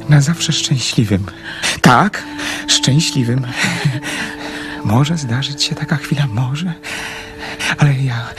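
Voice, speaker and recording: A lonely, ardent young dreamer in a radio play. His delivery is unhurried and halting, with a very lively pitch that swings fervently. Music is clearly heard underneath. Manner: fervent, halting, swooping between hushed and elated. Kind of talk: radio drama acting.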